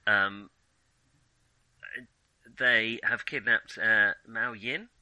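Guy doing a casual movie podcast, really bright forceful voice, hesitant, super dry sound. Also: lowish voice, steady tone, drawn-out words, dry recording, rough recording. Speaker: podcast host. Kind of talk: casual film discussion.

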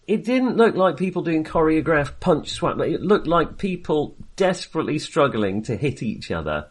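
A man's voice: fairly high pitch, very animated, normal speed, husky. A man co-hosting a film podcast in casual conversation, with a hesitant, rambling and wry way of talking.

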